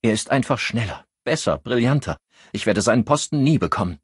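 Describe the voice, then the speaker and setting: A tense, ambitious policewoman played by a male narrator in an audiobook. The voice swings widely in pitch and has a husky edge. She is bossy yet insecure, speaking in brisk, clipped phrases. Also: lively intonation, dry recording, studio quality. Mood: anxious, bitter. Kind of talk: audiobook character dialogue.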